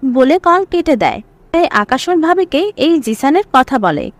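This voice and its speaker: A woman's voice: high voice, very animated, measured pace, dry recording, faint music behind. A woman reading scripted narration for a serial story.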